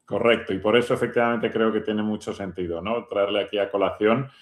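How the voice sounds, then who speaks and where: A man hosting a conversational radio interview on religion, speaking haltingly with frequent tag questions. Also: lowish voice, fairly even intonation. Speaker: radio host. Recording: roomy, echoing sound.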